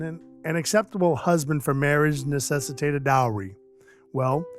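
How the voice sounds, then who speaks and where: A man acting in an audio drama as an awkward, gruff but kindhearted Christmas dwarf who rambles earnestly and runs himself down. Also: fairly high pitch. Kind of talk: audio drama acting with first-person narration. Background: music under the voice.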